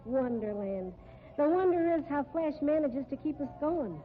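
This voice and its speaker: A woman's voice: sits high, expressive, moderate pace. An actress playing a worried, grumbling circus fat lady with a folksy, slangy manner in a 1950s radio drama. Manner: folksy, uneducated slangy grousing. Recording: muffled, faintly noisy old radio recording.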